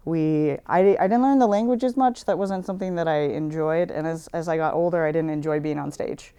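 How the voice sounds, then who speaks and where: A young woman who works as a sound technician in theater, answering interview questions in a candid, halting way. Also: low voice, mellow, smooth. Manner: candid, hesitant with fillers.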